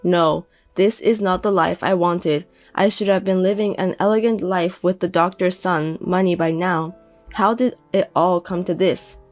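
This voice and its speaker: A woman voicing a 57-year-old indebted deadbeat father who pleads and pities himself, speaking slowly. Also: on the low side, fairly even intonation, no room sound, soft background music, natural voice. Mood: self-pitying. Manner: pleading, self-pitying, slow.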